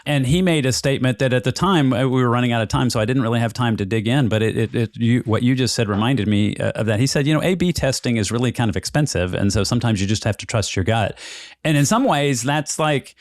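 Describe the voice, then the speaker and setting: A man's voice: medium pitch, quick pace, dry recording, clean studio sound. A man hosting a casual interview, rambling and thinking aloud as he repeats words. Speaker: podcast host, interviewer.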